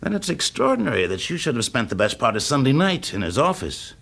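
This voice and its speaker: An actor with an English accent playing a shrewd, genial, commanding fire investigator in a classic radio drama, polite but probing. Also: male voice, medium pitch, big pitch swings, quick pace, vocal fry, a bit bright, heavily acted. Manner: polite, probing, confidently in charge.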